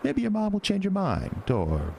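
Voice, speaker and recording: An actor playing a sinister, smooth-talking male carnival showman in a horror audio drama, with a deep, coaxing, theatrical delivery. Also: huge pitch swings, moderate pace, mellow, a little hiss or hum, heavily acted. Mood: sly. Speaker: carnival owner.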